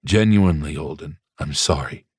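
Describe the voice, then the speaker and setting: A man voicing a gentle, frail older father who is ill but reassuring, speaking softly and slowly. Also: a bass voice, husky, no echo, clean studio sound, pitched below the performer's own voice, full character voice. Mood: apologetic. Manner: soft, slow and tender. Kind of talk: audiobook character voice.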